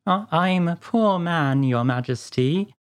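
Storybook role: a woman as a humble, nervous man, low-pitched against her own voice, slow and trembling, pleading his case. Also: expressive, darkish tone, full character voice. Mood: anxious, pleading.

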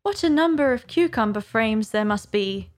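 A woman's voice: on the high side, animated, moderate pace, very noisy, lightly acted. A woman voicing a curious young girl in a storybook, musing primly and talking to herself. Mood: determined, musing. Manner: prim, wondering, self-scolding.

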